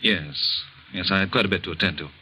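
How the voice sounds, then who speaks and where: An actor playing a cold, evasive husband with a clipped, aloof manner in 1940s radio drama style. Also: male voice, low-pitched, brisk, bright, forceful tone. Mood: evasive, casual. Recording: noisy vintage radio drama recording.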